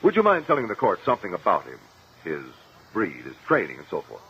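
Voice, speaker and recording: A shrewd, probing courtroom attorney in a 1950s radio drama. His low voice moves through a lively range, formal and courteous but insistent. The sound is dull, lo-fi vintage radio. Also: slight background noise, full character voice. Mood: courteous, assured. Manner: measured, formal, insistent questioning.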